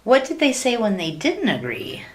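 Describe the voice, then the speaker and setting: An actress playing a sweet, naive, adoring wife who asks guileless questions in a sitcom-style radio play. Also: female voice, a little high, huge pitch swings, moderate pace. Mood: puzzled, naive. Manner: innocent, earnest, guileless questioning. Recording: noisy track with clicks.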